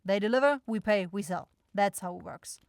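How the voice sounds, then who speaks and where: A woman playing an irritable, sharp-tongued demon shopkeeper in a scripted fantasy ASMR roleplay, blunt and exasperated. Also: medium pitch, animated, moderate pace, no echo, studio quality, close to an everyday voice. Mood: irritated, dismissive. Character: grumpy, sarcastic demon goat-eyed shopkeeper sister, sharp-tongued.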